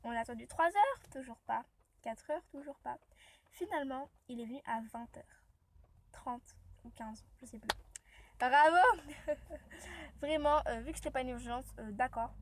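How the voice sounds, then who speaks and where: High-voiced woman with a cold. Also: expressive, a little slow, long pauses. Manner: rambling, chatty storytelling. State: has a cold and sore throat. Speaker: vlogger. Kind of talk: casual vlog chat. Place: inside a parked car, rain outside.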